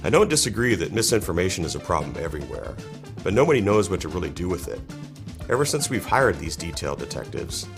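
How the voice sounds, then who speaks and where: Man doing a skeptical exec, very deep voice, wry, music behind. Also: sing-song, fast, vocal fry, exaggerated voice. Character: skeptical male company executive, middle-aged. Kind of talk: mock-serious ad testimonial. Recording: music bed under the voice.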